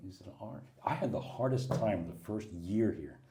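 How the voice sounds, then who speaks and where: A middle-aged American man chatting as an interview guest, telling lively stories and acting out the people he quotes. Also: on the low side, moderate pace, a crowd in the background. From USA. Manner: animated storyteller, acts out quoted people, laughs often. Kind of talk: casual interview chat.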